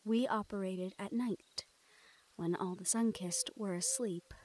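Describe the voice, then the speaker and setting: A woman playing a teasing, protective shadow-folk thief in an intimate fantasy audio roleplay, speaking softly and often dropping toward a murmur. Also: medium pitch, fairly even intonation, measured pace, natural voice. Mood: somber storytelling.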